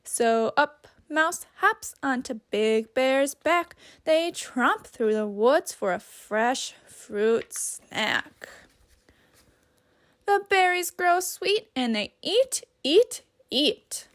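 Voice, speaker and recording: A woman with a high voice reads a children's story aloud like a teacher. Her delivery is slow and deliberate, with an instructive manner that makes room for questions. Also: animated, fairly bright tone.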